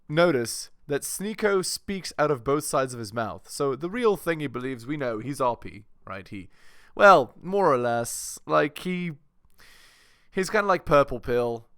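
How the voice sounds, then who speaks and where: Male reaction host with mid-range pitch, sardonic and mocking, dry close sound. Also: fairly bright tone, no echo. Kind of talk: reaction commentary.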